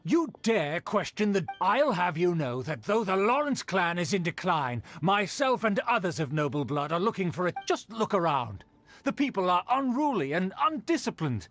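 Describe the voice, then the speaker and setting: A man's voice: high voice, very animated, moderate pace, fairly bright tone, soft background music. A man playing a haughty, indignant nobleman in a video game, speaking in a pompous, formal, disdainful manner. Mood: haughty, scornful. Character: haughty, indignant male aristocrat of a declining noble clan. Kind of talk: scripted video game character acting.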